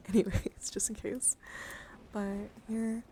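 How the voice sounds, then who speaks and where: A young woman live streaming casual ASMR chat in a soft voice that often slips into whispers, rambling and easily excited, close to the microphone. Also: mid-range voice, drawn-out words, slight background noise, rough recording.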